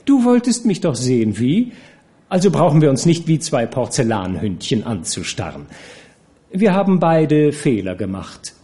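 A man voicing a coquettish young actress who teases and cajoles in a high, airy voice. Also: sing-song, moderate pace, mellow, lightly acted. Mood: teasing. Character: coquettish, teasing young woman, a theatre actress.

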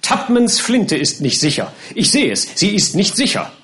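A man voicing a fussy, stubborn gentleman in an audiobook, protesting with emphatic, plaintive politeness. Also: on the high side, lively intonation, quick pace, voice pushed up, natural voice. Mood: anxious, firm.

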